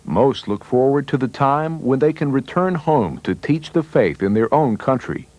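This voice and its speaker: A man's voice: medium pitch, animated, moderate pace, a little mellow, no room sound. A man reading scripted documentary narration as a newsreel narrator. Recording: music bed under narration. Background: background music.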